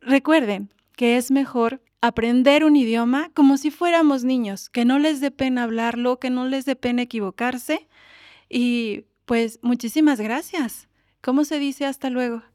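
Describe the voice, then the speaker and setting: Lady radio host, kinda high voice, dry studio sound, coaxing a kid along slow. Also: a little mellow, no room sound. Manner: patient, coaxing, prompts a child step by step. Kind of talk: community radio presenting and interviewing.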